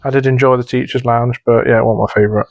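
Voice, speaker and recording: A man's voice: mid-range voice, fairly even intonation, quick pace, dry recording, muffled recording. A man judging entries on a podcast, talking casually in a hesitant, polite way. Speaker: podcast judge of audio tasks. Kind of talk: casual unscripted podcast chat.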